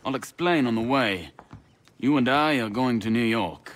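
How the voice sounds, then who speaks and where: Man playing lively-pitched colonial gentleman. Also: moderate pace, slight background noise, close to an everyday voice. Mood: confiding. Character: earnest, brisk colonial gentleman informant.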